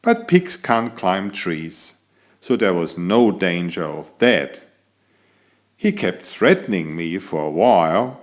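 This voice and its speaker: A man voicing an elegant, vain tomcat who chats theatrically to young listeners while telling a children's picture-book story. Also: fairly low pitch. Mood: self-satisfied. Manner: self-admiring, theatrical, addresses young listeners directly.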